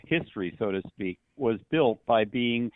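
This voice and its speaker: A man calling a sports show by telephone, offering casual opinions in hesitant, halting phrases over a tinny line. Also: mid-range voice, expressive, no echo. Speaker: radio call-in listener. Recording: tinny dry phone line.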